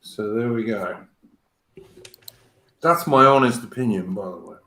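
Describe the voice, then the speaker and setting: A man's voice: fairly low pitch, a little slow, pushed and bright, roomy sound. A middle-aged man with a British accent carrying a South African and Australian twang, hosting a casual livestream chat, blunt and bantering, with the odd swear word. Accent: mixed British with South African and Australian twang. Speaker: stream host.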